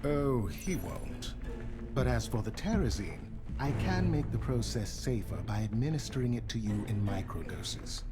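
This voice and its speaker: A composed male science advisor played by a man in a game cinematic, mid-pitched. He speaks precisely and calmly over clear background music. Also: moderate pace, natural voice. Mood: calm, clinical. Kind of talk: video game cinematic dialogue.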